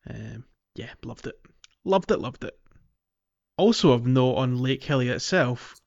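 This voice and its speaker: A man's voice: mid-range voice, animated, measured pace, a little mellow. Man chatting on a lo-fi gaming podcast. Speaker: podcast co-host. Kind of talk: casual video game podcast chat.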